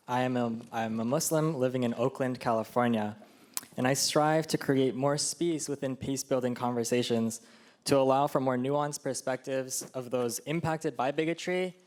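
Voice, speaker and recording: A man's voice: medium pitch, moderate pace. A man living in Oakland, California introducing himself, thoughtful and earnest. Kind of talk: personal introduction at a conference.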